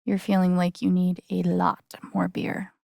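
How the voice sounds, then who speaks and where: A woman's voice: lowish voice, monotone, unhurried, creaky, mellow, no room sound, studio quality, full character voice. An actress playing a guarded, wry young woman in a video game, speaking in a casual, hesitant, understated way. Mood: vulnerable, earnest. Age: young adult. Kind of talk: naturalistic video game voice acting.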